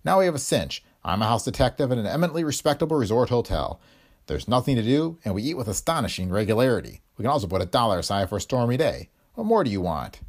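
A man's voice: mid-range voice, sing-song, quick pace. A man voicing a dry, pompous male hotel detective who speaks in a formal, long-winded way.

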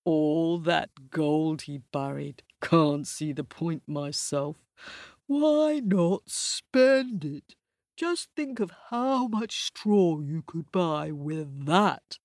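A smug, wise old cow drawls each word at a lazy crawl, as a woman puts her on. Her voice sits low and a little distant. The recording is dry and close. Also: expressive, a little mellow, no echo, exaggerated voice. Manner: slow, drawling, chuckling.

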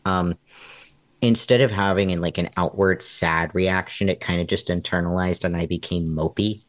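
A young man speaking as an interview guest, thinking out loud with many pauses and ums. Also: low-pitched, fairly even intonation, no echo. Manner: hesitant and reflective, many ums. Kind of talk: candid personal interview.

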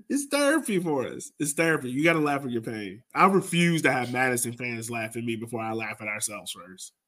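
A man's voice: medium pitch, expressive, fairly quick, bright, forceful tone. An American man hosting a casual sports podcast, speaking colloquially and repeating phrases for emphasis. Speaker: podcast host.

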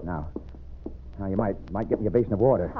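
Casting: male actor as steady American western doctor, quick delivery, very noisy old radio recording. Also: deep voice, close to an everyday voice. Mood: urgent, focused. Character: earnest, steady frontier town doctor. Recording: noisy, narrow-band vintage radio recording.

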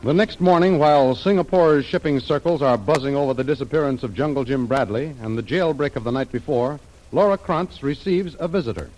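A man's voice: mid-range voice, a little fast, a little mellow, poor audio. A man announcing and narrating a 1930s radio serial in dramatic, scripted style.

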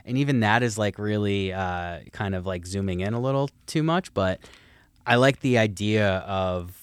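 A man's voice: lowish voice, normal speed, smooth, no echo. A young man co-hosting a podcast, rambling casually with many filler words while musing on speculative ideas. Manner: rambling, lots of "like" and "who knows". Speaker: podcast co-host. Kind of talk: casual chat.

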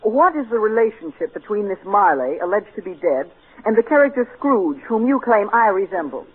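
A man's voice: high voice, big pitch swings, a little fast, slightly rough, full character voice. An actor playing a snooty, precocious rich boy with pompous, precise, bookish diction in an old-time radio comedy. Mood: disdainful, skeptical. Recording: tinny, noisy vintage radio recording.

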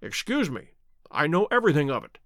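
A man voicing a shrewd, self-assured gentleman detective in an audiobook, courteous and precise in manner. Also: on the high side, big pitch swings, a little fast, dry recording, clean studio sound. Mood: bland, assured. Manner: bland, courteous, confident, precise.

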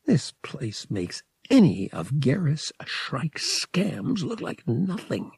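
A man's voice: on the high side, huge pitch swings, slow, gravelly, darkish tone, breathy edge, dry recording, studio quality, voice pushed up, full character voice. A man voicing a cynical, wary young male space pilot in an audiobook, with a wry, sardonic delivery. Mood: simmering disgust. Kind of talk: audiobook character dialogue.

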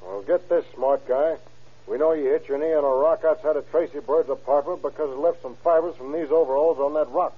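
Tough american detective, raspy, sharp-tongued, old tinny radio serial. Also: male voice, a little high, fairly quick. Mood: accusing. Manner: hard-boiled, accusing, clipped. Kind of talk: old-time radio crime drama acting.

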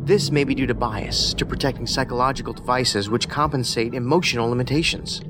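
A man acting as an eccentric male investigator in a science fiction audio drama. He is analytical and wordy, with dry whimsy, in a mid-pitched voice. Music plays clearly behind him. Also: a little fast, lightly acted. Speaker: federal agent, profiler.

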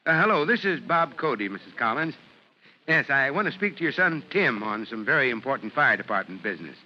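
A man's voice: mid-range voice, very animated, a little fast, lightly acted. A man playing a genial, authoritative middle-aged fire chief in a 1950s radio drama, hearty and decisive. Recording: lo-fi vintage radio recording, faint noise.

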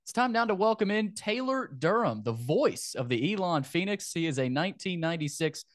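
A man's voice: high-pitched, sing-song, fairly quick, no echo, clean studio sound. A man hosting a sports podcast in casual talk, often repeating phrases for emphasis.

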